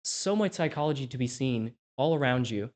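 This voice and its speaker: A young man in his twenties, raised in Poland, speaks in casual podcast chat. He reflects as he goes, restarting sentences while he thinks aloud. His pitch sits in an ordinary middle range. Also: animated, brisk, darkish tone. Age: 20s. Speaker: podcast co-host, interviewee.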